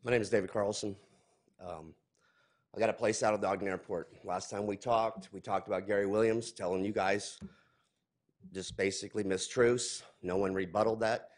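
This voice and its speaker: A man's voice: fairly low pitch, fairly even intonation, normal speed. A man in his forties giving a heated, accusing public comment at a podium mic in a large council chamber.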